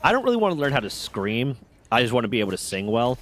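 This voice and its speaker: Brief: male streamer, 30s, animated pitch, rambling sweary storyteller. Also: quick pace. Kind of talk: casual gaming chat.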